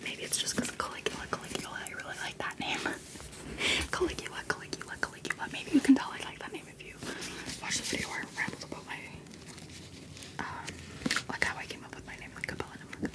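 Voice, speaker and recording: A young woman whispering as she rambles and giggles about favorite books in a casual video. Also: brisk. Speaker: video creator. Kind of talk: whispered book-talk ramble.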